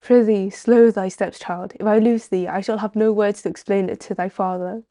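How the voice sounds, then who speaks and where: A woman's voice: medium pitch, steady tone, moderate pace, warm tone, dry recording, clean studio sound, close to an everyday voice. A woman voicing a refined, protective aunt from a story game, with old-fashioned diction and French phrases. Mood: worried, chiding. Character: anxious, protective aunt with archaic, refined speech. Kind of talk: reading story game dialogue aloud.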